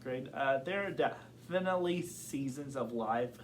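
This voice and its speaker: Slow-talking young man who stutters. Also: mid-range voice, lively intonation, a little hiss or hum. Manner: stutters, with many fillers and repeated words; thoughtful, open. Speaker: interviewee. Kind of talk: casual interview.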